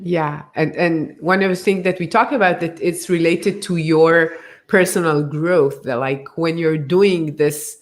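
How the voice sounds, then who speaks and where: A woman hosting an interview on a video call, musing over ideas and rephrasing them slowly. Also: deep voice, steady tone. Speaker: host and author. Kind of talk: casual interview chat.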